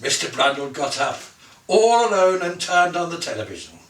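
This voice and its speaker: A man reading a children's Christmas story aloud in an expressive, theatrical way, savouring lists and softening the ends of his phrases. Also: a little high, moderate pace. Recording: roomy echo, distant from the mic.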